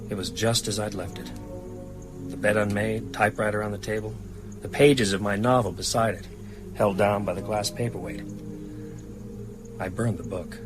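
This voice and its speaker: A low-pitched, sombre man, an actor as a haunted novelist recounts horror in a radio drama. He is measured and weary, with music clearly behind him. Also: fairly even intonation, lightly acted. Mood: weary, reflective. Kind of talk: dramatic first-person narration.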